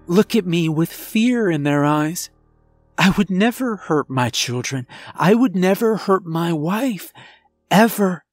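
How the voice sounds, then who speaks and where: A man voicing a remorseful werewolf husband and father confessing in a grave, halting, emotional horror story. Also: a little high, dry recording, pitched above the performer's own voice. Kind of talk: dramatic first-person horror storytelling. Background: background music.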